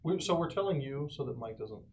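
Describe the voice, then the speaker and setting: A man's voice: mid-range voice, lively intonation, quick pace. A man chatting casually as a tabletop game player, bossy and joking. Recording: faint background noise, clicks.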